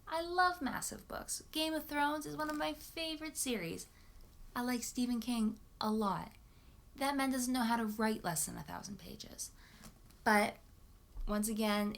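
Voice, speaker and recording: A young woman chats to camera in the manner of a book vlogger. Her voice sits at an average pitch for a woman. She is informal and expressive, correcting herself as she goes. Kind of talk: casual chat to camera about books.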